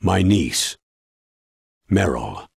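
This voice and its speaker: An American actor playing a weary, earnest older retired military commander in a video game, speaking gravely and measuredly in a husky voice. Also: male voice, sing-song, extremely slow, dry recording, clean studio sound, heavily acted. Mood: grave, worried. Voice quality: deep husky. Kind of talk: video game cutscene acting.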